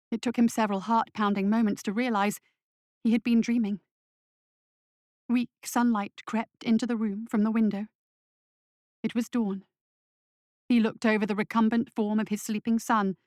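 A woman narrates horror fiction like an audiobook. Her voice is medium in pitch and measured, with dramatic delivery. The sound is very dry, with little room. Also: no room sound. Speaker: story narrator.

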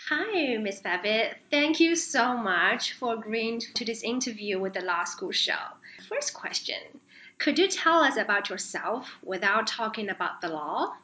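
A woman's voice: a little high, lively intonation, a little slow, bright, forceful tone. A woman hosting an interview, politely asking prepared questions. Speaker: interviewer, podcast host. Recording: slightly dull, echoey room recording.

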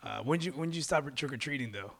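A man's voice: medium pitch, animated, racing, creaky, a bit bright. A man in his thirties hosting a casual podcast chat, teasing his guests and laughing often. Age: 30s. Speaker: podcast host.

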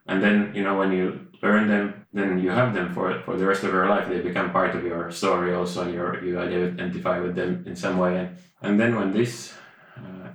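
Man, author in interview; low monotone, halting delivery, very echoey room. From Finland. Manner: halting, many restarts and fillers. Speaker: author and researcher. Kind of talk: reflective interview talk.

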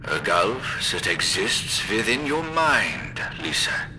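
A man playing an eccentric, patient doctor in a horror audio drama, questioning in a formal, measured way. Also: lowish voice, big pitch swings, background noise. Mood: gently insistent.

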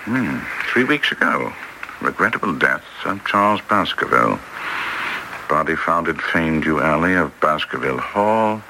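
An actor playing a cool, skeptical detective with a dry, clipped, deliberate manner in old radio drama style. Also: male voice, very deep voice, big pitch swings, gravelly, exaggerated voice. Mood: musing, dry. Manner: clipped, deliberate, dryly questioning. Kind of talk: 1940s radio drama acting. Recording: noisy old radio broadcast recording.

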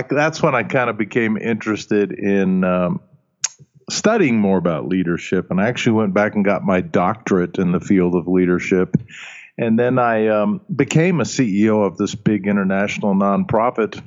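An older man from Alabama whose first language is German, a leadership coach answering interview questions in a relaxed, chuckling way with plenty of fillers. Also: on the low side, normal speed. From Alabama, United States. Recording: muffled lo-fi audio.

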